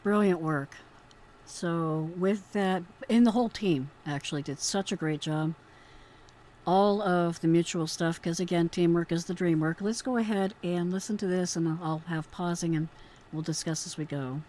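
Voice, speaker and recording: A woman's voice: lowish voice, moderate pace, darkish tone. A woman talks through a recording as host. She is conversational and explanatory, openly admiring as she comments. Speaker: host, retired emergency dispatcher. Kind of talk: informal commentary on a recorded call.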